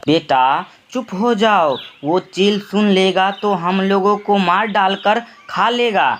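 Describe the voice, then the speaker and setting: A man's voice: high-pitched, slow pace, fairly bright tone, a little hiss or hum, pitched above the performer's own voice. A man voicing an anxious, protective mother bird in a cartoon story, pleading earnestly. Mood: frightened, urgent. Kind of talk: acted cartoon moral story.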